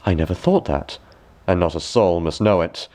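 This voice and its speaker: A man voicing an honest old minister, flustered and anxious to please, with exclamatory, drawn-out words in a storybook reading. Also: low-pitched, darkish tone, natural voice. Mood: anxious, dismayed.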